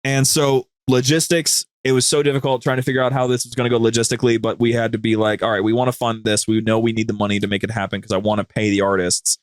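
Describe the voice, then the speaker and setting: A man's voice: medium pitch, flat delivery, fast talker, few pauses, dry recording, studio quality. A man chatting casually as a podcast guest, telling stories in an informal, rambling, slangy way. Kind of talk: casual, anecdotal chat.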